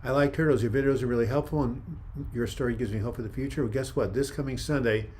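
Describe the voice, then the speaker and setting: An older man hosting a casual live chat, reading viewer comments in a calm, soft-spoken way with frequent restarts. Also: mid-range voice, fast, a little hiss or hum. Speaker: livestream host.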